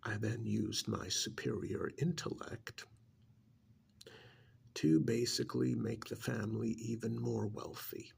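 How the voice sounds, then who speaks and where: Male actor as menacing ancient alien, heavy vocal fry, slow delivery, condescending, ASMR roleplay. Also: medium pitch, fairly even intonation, full character voice. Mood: sly, self-satisfied. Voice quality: gravelly, breathy, often whispered. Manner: slow, deliberate, condescending, with long pauses. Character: arrogant ancient alien stranded in a human body, contemptuous and menacing.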